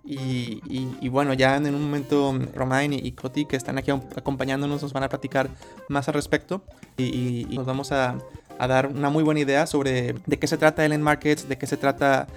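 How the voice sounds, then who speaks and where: Man hosting, monotone, faint guitar music in the background. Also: medium pitch, normal speed. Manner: warm-up talk with repeated words. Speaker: podcast host. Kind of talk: casual interview hosting.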